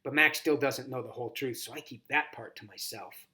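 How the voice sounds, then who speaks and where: A man narrating a first-person children's story as a volunteer reader, expressive and scripted. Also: medium pitch, brisk.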